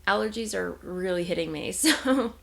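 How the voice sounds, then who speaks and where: A woman's voice: on the low side, expressive, normal speed, creaky. Tired, nasal young woman rambling casually. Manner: rambling, self-correcting, giggly. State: congested, slightly nasal. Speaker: knitting podcast host. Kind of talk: casual chatty video podcast.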